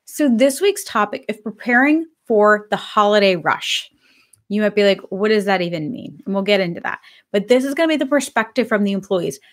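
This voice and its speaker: Upbeat woman on a live stream, a bit hoarse, chatting at her desk webcam. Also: mid-range voice, fairly quick. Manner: upbeat, chatty, says she is excited. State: slightly hoarse. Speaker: pet grooming business host. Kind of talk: casual live-stream talk. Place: home office with bookshelves, indoors.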